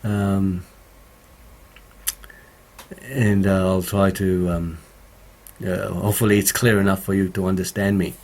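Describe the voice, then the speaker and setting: Male stream host, slightly croaky, slow and halting, with many fillers, recorded at a home studio mic. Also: sits low, flat delivery, darkish tone. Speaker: home studio streamer answering viewer questions. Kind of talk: casual live stream chat.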